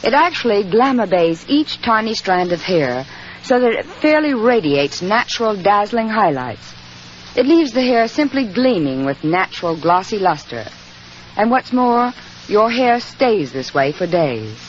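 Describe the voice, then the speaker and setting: Animated woman in vintage radio advertising. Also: medium pitch, unhurried. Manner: polished, gushing sales delivery. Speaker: commercial spokeswoman. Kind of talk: scripted 1940s radio advertising. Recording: muffled 1940s radio with faint noise.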